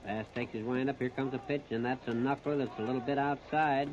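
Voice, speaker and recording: A man's voice: medium pitch, steady tone, fairly quick, crowd noise. An American man calling baseball play-by-play on 1930s radio, plain-spoken and folksy, in short phrases. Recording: muffled, noisy 1930s radio disc recording.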